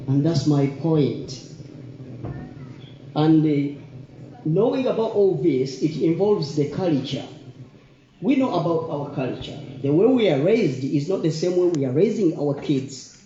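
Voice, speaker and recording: Ugandan guy, luganda native, giving opinionated talk, really mellow tone, muffled echoey recording. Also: on the high side, animated, measured pace, a little hiss or hum. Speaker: community event speaker. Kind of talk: informal public speech.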